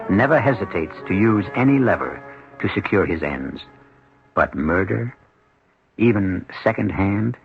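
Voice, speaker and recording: A man hosting and narrating a 1970s suspense radio drama in a dramatic, deliberate, portentous way. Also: on the low side. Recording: dull old radio broadcast. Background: music.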